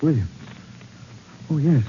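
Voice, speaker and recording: An actor playing an urgent, gallant gentleman sleuth with clipped, insistent delivery in 1940s radio style. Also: fairly high pitch, lively intonation, takes it slowly, a little hiss or hum. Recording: muffled old 1940s radio recording.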